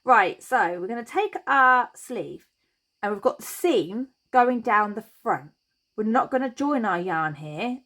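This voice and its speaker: A woman hosting a crochet tutorial, chatty and reassuring as she talks through each step, her voice a little croaky. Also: medium pitch, fairly bright tone. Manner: chatty and reassuring, thinks aloud while working. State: slightly croaky voice.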